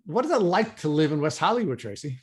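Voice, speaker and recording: An American man from New York hosting a podcast interview, speaking in deliberate, formally phrased sentences. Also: on the high side, big pitch swings. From New York, USA.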